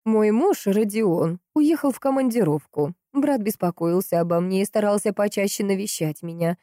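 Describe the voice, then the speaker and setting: A woman's voice: medium pitch, mellow, dry recording, clean studio sound, pitched above the performer's own voice, lightly acted. A woman voicing a hot-tempered, grieving sister in a crime audiobook, emphatic and impatient. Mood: agitated, impatient. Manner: emphatic, impatient, drawn-out stresses.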